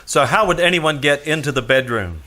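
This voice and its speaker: Bright, forceful hard-boiled private eye. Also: male voice, fairly high pitch, expressive, normal speed. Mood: brisk, probing. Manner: wry, clipped, hard-boiled. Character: wry, world-weary tough private detective. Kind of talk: old-time radio detective drama acting.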